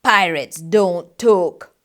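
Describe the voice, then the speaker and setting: Woman playing a gruff, bellowing pirate captain. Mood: commanding, blustery. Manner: booming, drawn-out commands in pirate slang. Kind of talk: storybook character voice.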